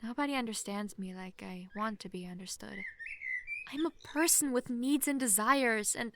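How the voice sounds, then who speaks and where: A young woman playing a sheltered, lonely princess who is playful, clingy and outspoken, in an expressive, chatty manner for an intimate roleplay audio drama. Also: fairly high pitch, normal speed. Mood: lonely, reflective. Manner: expressive, chatty, swinging from giddy to wistful. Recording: faint background noise, hobbyist home microphone.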